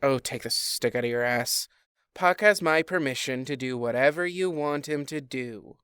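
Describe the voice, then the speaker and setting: A woman playing a sardonic fairy queen in a modern comedy audio drama, deadpan and taunting toward her husband. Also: a bass voice, moderate pace, clean tone. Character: cool, sardonic fairy queen, teasing wife.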